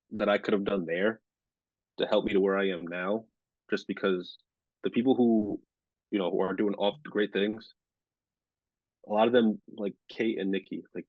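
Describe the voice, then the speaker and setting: A man in his twenties from Rhode Island, a sports reporter chatting casually as a podcast guest, talking in a monotone with lots of fillers. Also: on the low side, no echo, dull audio. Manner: monotone delivery, filler-heavy. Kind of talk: casual interview chat.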